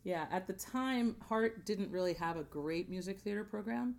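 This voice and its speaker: A woman's voice: mid-range voice, normal speed, gravelly, darkish tone. An American woman, a theater singer and podcast guest, chatting casually and acting out bits of dialogue as she tells her story. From born in Jamaica, grew up in New Jersey.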